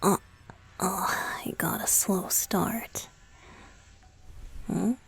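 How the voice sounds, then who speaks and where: Woman as a soft, unhurried, teasing young idol boyfriend. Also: mid-range voice, fairly even intonation, faint music behind. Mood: playful, competitive. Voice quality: soft, breathy, often dropping to a whisper. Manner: teasing, coaxing, giggly, slow with pauses. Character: young male pop idol boyfriend, playful, teasing, affectionate. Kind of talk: intimate ASMR roleplay. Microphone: close studio microphone, dry.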